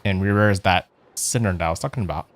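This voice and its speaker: Low-voiced rambling man streaming a game. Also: fast talker, slight background noise. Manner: rambling, with fillers and chuckles. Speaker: virtual streamer playing a video game. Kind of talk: casual let's play commentary.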